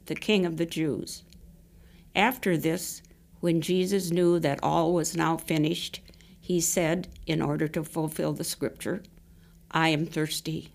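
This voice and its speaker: A woman's voice: sits low, vocal fry. A woman reading scripture and a written devotional reflection aloud. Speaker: devotional reader.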